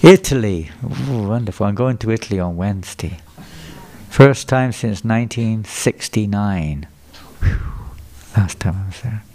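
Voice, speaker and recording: An older man gives a temple sermon in a loose, conversational way. He puts questions to the crowd and repeats what they call back. His voice sits low. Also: lively intonation, unhurried, darkish tone. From England. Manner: conversational, questions the audience and repeats their answers. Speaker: visiting speaker at a temple. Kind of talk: sermon lecture. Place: temple hall before a live audience.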